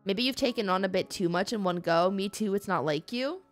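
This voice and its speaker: Girl streamer chatting over a game, playful n reactive, normal pitch, faint music. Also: brisk. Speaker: game livestreamer. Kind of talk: casual live gaming commentary.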